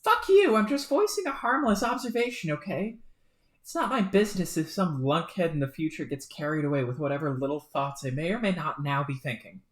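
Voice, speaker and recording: A woman voicing a loud, angry young male troll who rants and swears. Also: sits low, a little fast, lightly acted. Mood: furious ranting. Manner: shouting, ranting, swearing. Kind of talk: fan reading of a webcomic. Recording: roomy echo.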